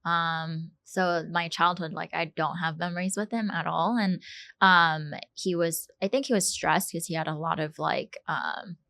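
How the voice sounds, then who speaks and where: A woman's voice: on the low side, steady tone, measured pace, gravelly, bright, forceful tone. A young woman from South Korea, co-hosting a podcast, telling a personal story earnestly with many fillers and restarts. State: tearful, fighting back emotion. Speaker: podcast co-host. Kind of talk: casual personal conversation.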